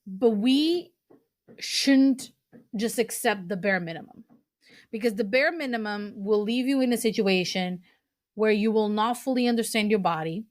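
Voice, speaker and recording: A young woman from Portugal hosts her podcast. She talks slowly and earnestly, pausing on ums and restarting phrases. Her voice sits at a middling pitch. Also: no room sound. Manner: slow, earnest, with fillers and restarts. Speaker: podcast host. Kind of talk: casual podcast talk recommending books.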